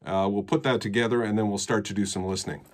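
A man, explaining things in a casual, halting vlog style at home. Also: on the low side, steady tone, slight background noise. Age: 60s. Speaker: musician and presenter. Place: small rooms in a home.

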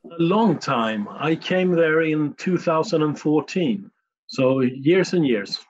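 A man from Sweden with a Stockholm accent, a non-native English speaker answering interview questions in a measured, plain-spoken way with small slips. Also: fairly high pitch, lively intonation, muffled recording. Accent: Stockholm Swedish. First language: Swedish. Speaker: guest, communications manager.

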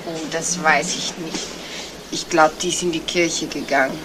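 A woman's voice: sits low, steady tone, moderate pace, a bit bright. Gossipy, insinuating neighbour woman, noisy recording. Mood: guarded, curious. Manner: chatty, insinuating, tags remarks with "nicht?". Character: gossipy, nosy neighbour woman, feigning discretion. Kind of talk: 1970s German radio crime drama acting. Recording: noisy old radio play, vehicle ambience.

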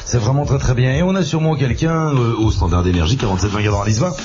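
A man hosting a live radio phone-in, lively and jokey, taking listeners' calls. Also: medium pitch, dull audio, noisy background. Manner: lively, jokey, quick to laugh. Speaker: radio host. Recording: 1980s FM radio cassette, music under.

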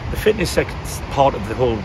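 A man in his fifties, a football manager, talking frankly after a match, often restarting his sentences as he thinks aloud, recorded outdoors beside a pitch. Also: very animated, fast, gravelly. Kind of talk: post-match interview. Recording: loud wind and outdoor noise.

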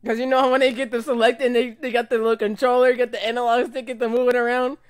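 A young man reacting casually to a video in a small room at home, excitable and often repeating himself. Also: very high voice, fast talker, clean tone. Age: young adult. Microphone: studio microphone with pop filter.

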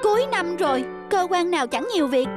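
A woman playing a tired, thrifty young wife in an animated family drama, plaintive and expressive. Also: very high voice, fairly quick, over loud music. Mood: annoyed. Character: tired, thrifty young city wife, anxious and put-upon.

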